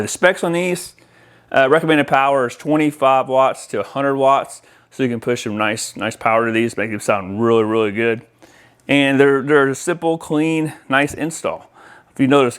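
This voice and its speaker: A man's voice: mid-range voice, smooth. A man presenting a product demonstration in a casual, explanatory way, speaking directly to viewers. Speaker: presenter for an audio-video installer.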